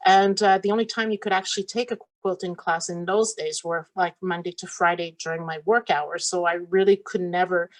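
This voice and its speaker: A woman's voice: fairly low pitch, steady tone, a little fast, hardly any pauses. A middle-aged woman whose first language is French, a quilt shop owner giving a casual talk over slides on a video call, with many fillers and restarts. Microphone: computer mic on a video call.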